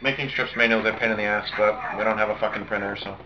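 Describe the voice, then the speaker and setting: Fast-talking young American man, noisy dull recording. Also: fairly low pitch, flat delivery. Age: young adult. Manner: casual, swears when thinking aloud. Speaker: hobbyist air traffic controller. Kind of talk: rapid radio phraseology with casual asides. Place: small home room, indoors. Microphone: camera on desk a step away. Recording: muffled, noisy webcam audio.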